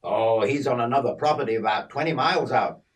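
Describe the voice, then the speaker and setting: A man's voice: mid-range voice, a little fast, far from the mic, lightly acted. A man voicing a friendly, chatty young country lad with an Australian accent. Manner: chatty, easygoing.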